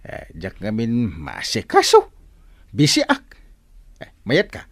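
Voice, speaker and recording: Village captain: Ilocano accent, brisk and generous, wildly swinging pitch, close dry sound, radio comedy. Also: male voice, wildly swooping pitch, a bit bright, dry recording, heavily acted. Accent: Filipino, Ilocano. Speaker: radio drama actor.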